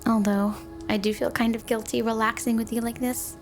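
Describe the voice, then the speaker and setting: Yandere snow woman for ASMR roleplay, played by a woman: slightly high pitch, affectionate, flirtatious, clingy, light music underneath. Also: flat delivery, fairly quick, close to an everyday voice. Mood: coy, teasing. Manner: flirty, affectionate, teasing, coy. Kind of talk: ASMR roleplay, intimate monologue to a listener.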